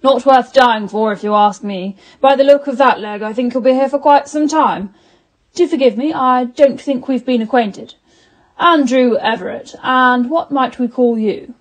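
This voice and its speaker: A woman playing a pompous, faintly menacing hunting gentleman in a 1930s radio drama. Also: high-pitched, normal speed, natural voice, low-quality recording. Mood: smug, knowing.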